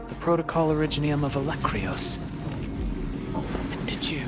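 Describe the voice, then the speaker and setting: A man's voice: a little high, flat delivery, normal speed, natural voice. A man voicing an imperious, grave video game villain with a solemn, contemptuous delivery. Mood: disdainful. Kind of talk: dramatic video game voice acting. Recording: loud music under the voice.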